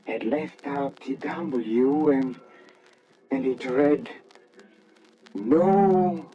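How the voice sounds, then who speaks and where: A man's voice: a little high, lo-fi sound, background noise. A man playing a nervous, secretive professor who puts on joviality, speaking hesitantly in old-time radio drama style. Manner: hesitant, stammering. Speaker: lead actor and narrator.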